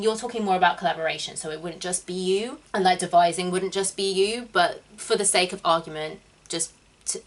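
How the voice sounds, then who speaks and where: Bright-voiced young woman giving chatty advice. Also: on the low side. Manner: chatty, explaining with quick asides. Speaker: host. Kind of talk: casual advice chat.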